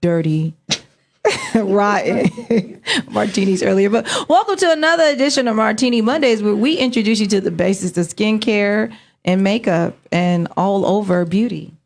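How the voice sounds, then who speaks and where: A woman's voice: mid-range voice, expressive, normal speed. A woman hosting a casual beauty talk show indoors, joking and laughing with loose filler-heavy speech. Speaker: host. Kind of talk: casual chat. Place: indoor set, table of guests.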